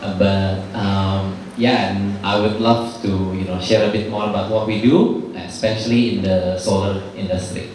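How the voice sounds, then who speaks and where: A man with a lowish voice introduces himself in a relaxed, casual way. He is heard from far off in a big echoing hall, with a faint hiss. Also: normal speed, few pauses. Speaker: panelist, operations vice president. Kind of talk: self-introduction on a panel.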